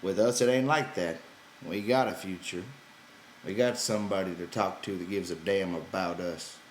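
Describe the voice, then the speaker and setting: A man voicing a gruff, weary, irritable ranch hand with folksy rough grammar in an audiobook. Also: deep voice, normal speed, reverberant, a little hiss or hum, lightly acted. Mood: rhythmic, reciting. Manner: gruff, exasperated, folksy ungrammatical speech.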